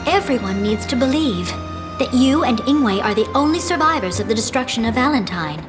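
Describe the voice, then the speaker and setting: A kindly, courteous fantasy game character, played by a woman, deferential toward royalty. The voice is fairly high and very animated, with music clearly behind it. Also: moderate pace, natural voice. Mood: kindly, concerned. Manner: expressive, courteous. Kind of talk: fantasy video game voice acting.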